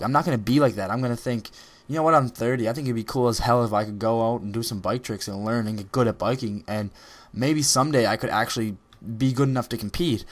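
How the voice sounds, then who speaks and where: A teenage boy giving a casual, rambling motivational talk over gameplay footage, with many ums and restarts. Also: mid-range voice. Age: teens. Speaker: gaming video creator.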